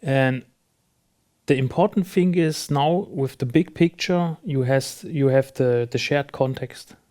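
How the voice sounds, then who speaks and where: Halting, agreeable man on a podcast. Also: medium pitch, dark tone. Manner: agreeable, halting, searching for words. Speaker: podcast host. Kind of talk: conversational business podcast discussion.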